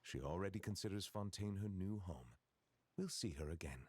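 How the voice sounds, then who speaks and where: Deep-voiced formal, reserved nobleman. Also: expressive, a little mellow. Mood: calm, reflective. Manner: formal, measured, old-fashioned phrasing. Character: formal, reserved nobleman, gently awkward and kindly. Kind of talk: scripted video game dialogue.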